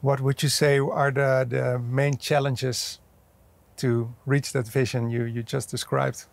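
A man's voice: medium pitch, flat delivery, takes it slowly, gravelly, no echo. A man in his thirties interviewing guests outdoors, friendly and questioning, on a lapel mic. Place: outdoors at an industrial port site.

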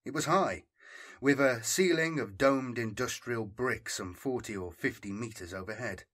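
Male narrator, mid-pitched voice, scripted audiobook fiction reading. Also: normal speed, a bit bright, husky.

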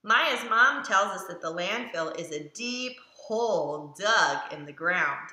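Woman reading as an eager, bright-voiced storybook dog. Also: fairly low pitch, slow, exaggerated voice. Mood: curious, explaining. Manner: expressive, simple, emphatic storybook delivery. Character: eager, curious family dog narrating his recycling day. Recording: roomy echo around the voice.

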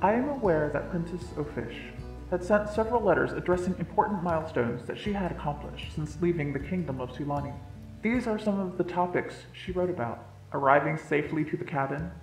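A reader voicing an earnest, gentle young husband and father-to-be in a storybook tale, speaking plainly and sincerely. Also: medium pitch, roomy sound, close to an everyday voice, rough recording. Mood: formal. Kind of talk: reading a story's dialogue and letter.

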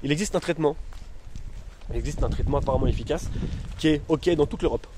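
A man's voice: on the high side, fast. A man in his thirties in pain, rambling in slangy casual talk to his followers while filming himself on a phone outdoors. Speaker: vlogger. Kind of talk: casual vlog. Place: outdoors among trees and bushes. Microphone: phone held at arm's length. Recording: noisy outdoor phone recording. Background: outdoor ambience.

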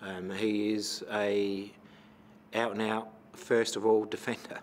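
Flat-voiced Australian coach at a press table. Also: male voice, on the low side, slight background noise. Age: middle-aged. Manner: measured, many fillers and restarts. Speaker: football coach. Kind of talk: post-match press conference answers. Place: press conference room with sponsor backdrop. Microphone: table microphone.

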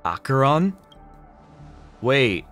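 A man's voice: mid-range voice, big pitch swings, very slow, fairly bright tone, soft background music. A male streamer chatting casually and reacting to a game in an informal, sweary, exclamatory way.

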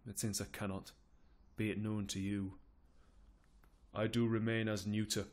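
A man's voice: on the low side, flat delivery, normal speed, darkish tone, lightly acted. Man acting a stern, weary old duke. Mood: weary resignation. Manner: declamatory verse, stern then resigned. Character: weary, principled old duke, rebuking yet powerless uncle.

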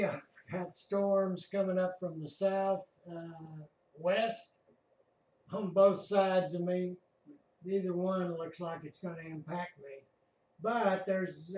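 A man's voice: sits high, darkish tone. A man giving unscripted weather radar commentary as host of an amateur radio net. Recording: distant mic in echoey room.

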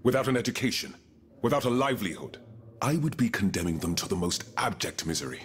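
Deep-voiced, dignified colonial gentleman. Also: sing-song, fast talker. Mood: indignant, proud. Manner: formal, eloquent, grave. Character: dignified wealthy colonial planter and earnest reformer, proud. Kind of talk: game cutscene voice acting, period drama.

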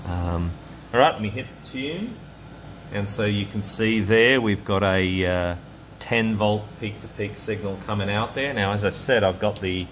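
A man presenting a hands-on electronics demonstration in a casual, halting way, thinking aloud as he works. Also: on the low side, a bit bright, slight background noise. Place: indoor electronics workbench.

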